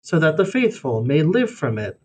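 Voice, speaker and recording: A man reads a scripted religious essay aloud as narration, in a measured, formal way, phrase by phrase. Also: big pitch swings, a little mellow. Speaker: reader of a religious blog essay. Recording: faint background noise with low rumble.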